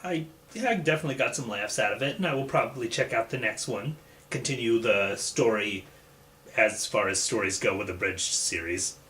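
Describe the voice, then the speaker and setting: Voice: medium pitch, moderate pace, fairly bright tone, a little hiss or hum. A reaction video host giving casual commentary in between moments of watching.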